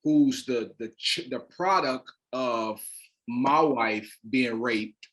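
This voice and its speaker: A man's voice: mid-range voice, takes it slowly, a bit bright. An American man speaking as a guest playwright and director, giving casual promotional talk in an interview.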